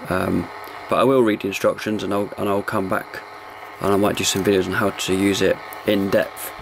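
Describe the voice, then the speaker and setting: A man with a lowish, quite monotone voice gives a casual hands-on review. He talks in an informal, low-key way. There is clear noise in the recording. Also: normal speed. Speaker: amateur radio reviewer.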